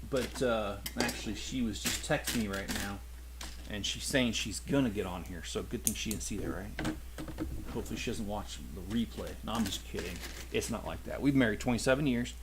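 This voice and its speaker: A man's voice: fairly low pitch, a little fast. Middle-aged man, casual live-stream host, rambling, self-correcting, chatty with viewers, background noise and typing. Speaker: toy channel host. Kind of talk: casual live-stream chat while building. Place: home, rural.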